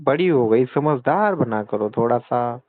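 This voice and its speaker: A man's voice: mid-range voice, animated, measured pace. A man arguing over a phone call, lecturing and scolding his partner. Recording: tinny phone line.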